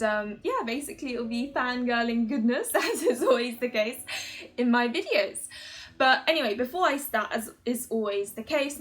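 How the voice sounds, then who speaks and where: A woman's voice: on the high side, fairly bright tone. A woman hosting her own video, chatting casually to viewers in a giggly, informal, rambling fangirl manner. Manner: giggly, gushing fan talk, informal and rambling. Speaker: host of a video channel about otome games.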